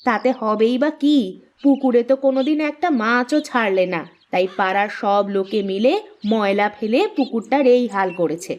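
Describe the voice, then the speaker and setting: A woman playing a nagging, exasperated village housewife in a children's cartoon, scolding and sharp-tongued, on a slightly dull recording. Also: a little high, normal speed, lightly acted. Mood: scolding, scornful. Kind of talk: Bengali children's cartoon dubbing.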